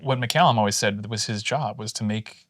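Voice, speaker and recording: A man's voice: fairly low pitch, normal speed, a bit bright, dry recording, studio quality. A man co-hosting a podcast, telling stories in a rambling, casual way with lots of restarts. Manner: rambling anecdotes with many restarts. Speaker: podcast co-host. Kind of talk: casual chat, storytelling.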